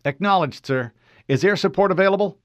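A man's voice: sits high, a little fast, fairly bright tone, no room sound, lo-fi sound, voice pushed up. A man voicing a disciplined male soldier who leads a squad and speaks in formal military radio talk. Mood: controlled.

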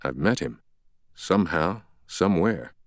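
A polite male professor in an audiobook thriller, read by a male narrator. He is calm and questioning, with measured phrasing and a mobile pitch, recorded close and dry. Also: dry recording. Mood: puzzled.

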